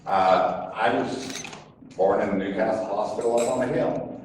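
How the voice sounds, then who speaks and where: A man's voice: on the low side, moderate pace, slight background noise. An older man from Wyoming introducing himself as a board candidate, plain-spoken and hesitant, with many fillers. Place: convention center meeting room. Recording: echoey hall from far off.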